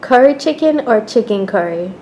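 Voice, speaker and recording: A woman's voice: fairly high pitch, animated, normal speed, slight background noise. A young woman interviewing students, asking short, friendly questions. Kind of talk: casual street interview. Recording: loud music underneath.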